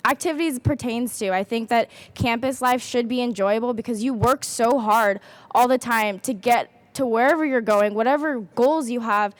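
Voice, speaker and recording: A woman's voice: fairly high pitch, no room sound. A young woman, a student candidate, gives a chatty, upbeat campaign speech. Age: young adult.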